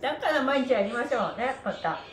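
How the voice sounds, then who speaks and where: Woman, high voice, upbeat livestream fitness host, energetic, polite chatty delivery, echoey room. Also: a little fast. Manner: cheerful, energetic, often laughing, polite presenter phrasing. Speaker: host of a livestreamed stretching class, pantomime performer. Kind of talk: upbeat exercise instruction and casual livestream chat to viewers. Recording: roomy echo, slight background noise.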